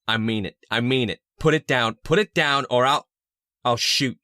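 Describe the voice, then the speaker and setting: A man's voice: mid-range voice, normal speed, pushed and bright, dry recording, clean studio sound, natural voice. An actor playing a touchy, volatile man in an old-time radio drama, insistent and casual in his speech. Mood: angry, threatening. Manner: casual, insistent, swinging between offhand and heated.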